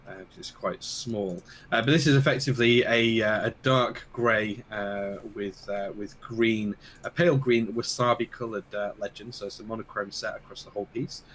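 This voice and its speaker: A man co-hosting a casual keyboard podcast, losing his voice and talking in halting bits full of fillers. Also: fairly low pitch, fairly even intonation, a bit bright. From United Kingdom. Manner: halting, many fillers and restarts. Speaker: podcast co-host. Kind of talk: casual chat about keyboards.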